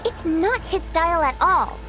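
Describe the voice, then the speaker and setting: An actress playing a lively, chatty young woman in an anime-style video game, speaking expressively. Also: very high-pitched, measured pace, over music, exaggerated voice. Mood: earnest, defensive. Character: lively young woman, secret member of underground group.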